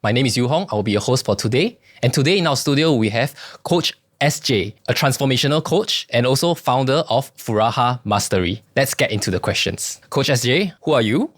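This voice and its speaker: A man hosting a podcast, opening briskly and asking his guest questions. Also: medium pitch, lively intonation, no room sound, studio quality. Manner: brisk intro, short listening murmurs. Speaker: podcast host. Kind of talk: podcast interviewing. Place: studio.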